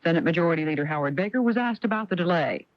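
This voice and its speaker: A man's voice: fairly high pitch, lively intonation, very fast, no room sound. An American man reading a scripted newscast as a radio anchor. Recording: dull lo-fi vintage AM radio.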